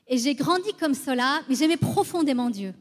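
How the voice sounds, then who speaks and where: High-voiced Iranian-born woman in echoing hall. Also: lively intonation, normal speed. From Iran, moved to France at eleven. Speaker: guest giving testimony. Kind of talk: personal church testimony. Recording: reverberant hall, long echo.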